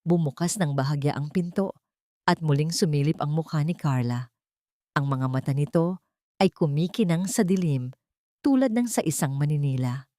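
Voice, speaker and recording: A woman's voice: low voice, moderate pace, warm tone, no room sound, clean studio sound. A woman narrator reading a scripted, dramatic audio drama story.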